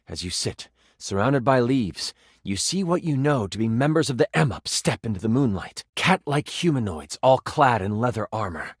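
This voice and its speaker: A man's voice: medium pitch, breathy edge, no echo, clean studio sound. An actor playing a game master, a man narrating a fantasy quest in a theatrical, suspenseful and emphatic way.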